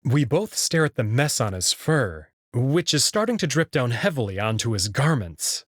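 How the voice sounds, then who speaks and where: A man reading scripted first-person visual novel narration in an expressive, dramatic way. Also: medium pitch, moderate pace, no echo, clean studio sound.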